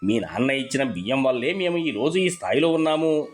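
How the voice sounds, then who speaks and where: A man's voice: mid-range voice, animated, brisk, close to an everyday voice. A man playing a kindly, grateful village uncle who gives affectionate advice in a moral story. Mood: earnest, advising. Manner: affectionate, advising. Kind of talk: dramatised storybook dialogue. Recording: music behind the voice.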